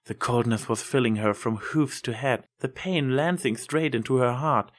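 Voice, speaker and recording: A man's voice: mid-range voice, normal speed. Man reading a story dramatically. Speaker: story narrator. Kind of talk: scripted dramatic narration of fiction.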